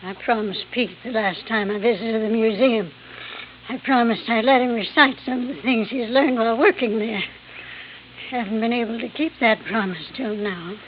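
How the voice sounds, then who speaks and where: An actress playing a frail, dying, genteel old lady in an old radio drama, speaking in a halting, gracious, weary way. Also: on the high side, natural voice. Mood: weak, gracious. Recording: tinny, noisy old radio recording.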